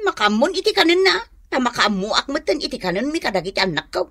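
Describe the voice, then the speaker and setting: High-pitched fed-up Ilocano wife. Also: female voice, sing-song, fast talker, fairly bright tone, no echo, studio quality, exaggerated voice. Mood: exasperated. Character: fed-up, scolding wife and mother, fiery temper. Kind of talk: radio drama acting.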